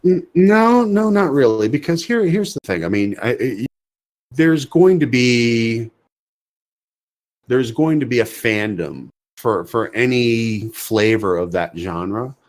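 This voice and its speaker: A man's voice: medium pitch, animated, slow. Emphatic man debating on a podcast. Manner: emphatic, asks and answers own questions. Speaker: podcast co-host. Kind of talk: opinionated casual podcast discussion.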